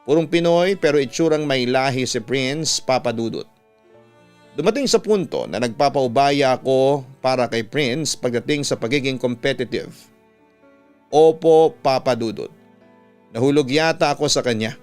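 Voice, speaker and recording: A man's voice: mid-range voice, expressive, moderate pace, a little mellow, clear, resonant tone. A man with a Filipino accent, a radio announcer narrating a listener's letter as a scripted story over music. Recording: music bed under the voice. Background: background music.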